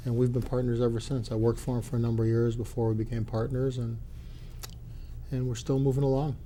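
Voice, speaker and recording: A man with a mellow, mid-pitched voice answers a local interviewer, measured and a little halting. Faint room noise hums behind him. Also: little pitch movement. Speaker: grocery business owner, guest.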